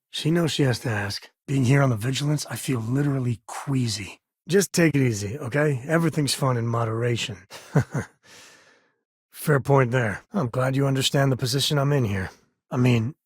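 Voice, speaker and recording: A folksy, wry space ranger, close and dry. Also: male voice, medium pitch, slightly rough, no echo. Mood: uneasy, wry. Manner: folksy, wry, conversational with hesitations. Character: rugged, easygoing male space ranger and protective father. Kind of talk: video game character dialogue.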